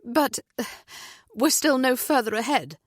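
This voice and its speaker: A woman's voice: sits high, expressive, unhurried, no echo, pitched above the performer's own voice. A woman voicing a panicking mother who is close to screaming, in an audiobook. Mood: anxious. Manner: near-screaming, desperate. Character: panicking mother, frantic and shrill.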